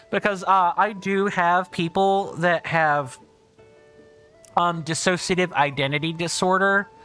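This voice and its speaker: A man livestreaming a game, chatting casually and reading out viewer comments, with a big booming voice. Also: pushed and bright, smooth. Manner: chatty, thinks aloud, reads viewer comments. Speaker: streamer. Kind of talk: casual gameplay livestream chat.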